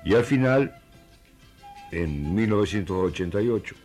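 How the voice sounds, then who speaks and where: A man's voice: fairly low pitch, lively intonation, normal speed, darkish tone, faint music behind. A man from Argentina, giving first-person testimony as a community member in a storytelling style.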